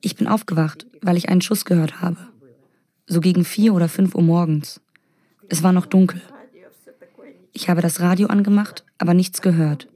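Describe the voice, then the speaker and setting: A woman speaking as the voice-over translation of an interviewee in a documentary, in a quiet, steady, matter-of-fact way. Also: on the low side, normal speed, long pauses, close to an everyday voice.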